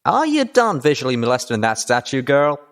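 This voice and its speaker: A man's voice: mid-range voice, huge pitch swings, fairly quick, pitched above the performer's own voice. A man voicing a teasing, easygoing male pony cowboy in an audiobook, with a wry, folksy manner. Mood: teasing, impatient.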